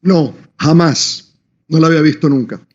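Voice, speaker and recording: A man joining remotely as a guest. He gives short, calm answers in a somewhat high voice, and the lo-fi feed sounds dull. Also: fairly quick.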